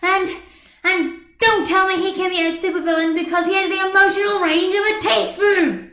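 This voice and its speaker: A woman voicing a fiery, stubborn schoolgirl in a fan fiction story, forceful and indignant as she argues. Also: very high voice, steady tone, normal speed, pitched above the performer's own voice, full character voice. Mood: furious ranting. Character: fiery, stubborn schoolgirl passionately defending her hero. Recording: echoey room on computer microphone.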